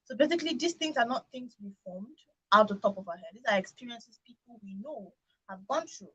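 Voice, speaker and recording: Husky, higher-pitched Nigerian woman. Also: expressive, brisk, pushed and bright, dull audio. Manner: emphatic, opinionated, uses rhetorical questions. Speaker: radio talk show host. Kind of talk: animated conversational radio discussion.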